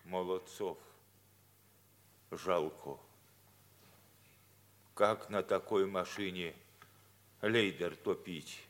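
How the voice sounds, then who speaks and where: A regretful old grandfather speaks very slowly in a deep, low voice. His manner is subdued and heavy, as acted in a 1950s radio play. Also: a bit bright, heavily acted. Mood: regretful, grave. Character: regretful old grandfather passing on grave news. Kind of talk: 1950s Soviet radio theatre acting.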